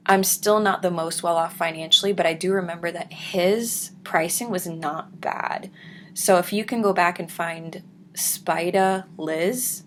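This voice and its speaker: A young woman with a deep, raspy voice, chatting casually to her viewers at home and slipping into soft whispers. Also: a little hiss or hum. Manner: soft-spoken, drifts into whispers. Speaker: vlogger. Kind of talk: casual chat reading viewer comments.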